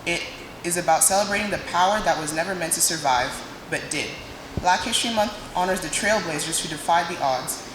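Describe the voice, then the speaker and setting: Young woman, dramatic speech. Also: on the low side. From Nigeria. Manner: dramatic, emphatic delivery with pauses. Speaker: student speaker. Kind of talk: prepared speech to an audience. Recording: echoey hall, noisy room sound.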